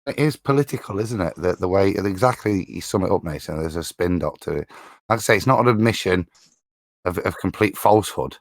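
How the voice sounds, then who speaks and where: A man on a discussion panel, talking casually in a halting, circling, indignant way. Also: low-pitched, expressive, no echo, clean studio sound. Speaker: panelist on a live show.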